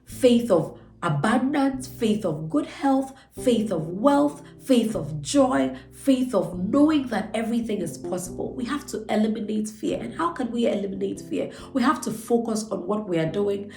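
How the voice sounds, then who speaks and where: A woman's voice: fairly high pitch, animated, moderate pace, vocal fry, few pauses, darkish tone. Woman host with a cold, nasal and earnest, stretches key words; roomy echo, faint music bed. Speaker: channel host. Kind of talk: motivational book breakdown. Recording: echoey room with faint music.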